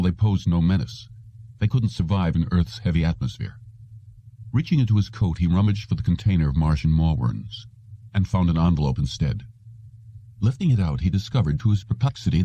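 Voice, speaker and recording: Deep, very dark-voiced male audiobook narrator. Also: slightly rough, no echo, lo-fi sound. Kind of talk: scripted narration of a science fiction story.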